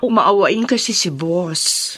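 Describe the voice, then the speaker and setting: An actress playing a scheming, breezy assistant to a rich boss in a Filipino radio drama, with a coaxing manner. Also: female voice, fairly low pitch, animated, takes it slowly, a bit bright, husky, no room sound, exaggerated voice.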